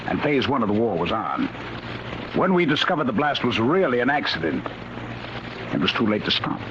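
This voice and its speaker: Through a noisy, dull vintage broadcast comes an actor as a fatherly military chief. He is solemn and earnest, with measured phrases that rise and fall widely. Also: mid-range voice, very animated, natural voice. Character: grave, fatherly senior military man of the future. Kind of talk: old-time radio drama acting. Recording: noisy, muffled vintage radio recording.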